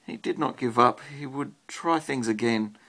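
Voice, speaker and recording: An Australian man with a husky, creaky voice, reading a picture book aloud and chatting simply with a child as a parent would. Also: medium pitch, moderate pace, bright, forceful tone.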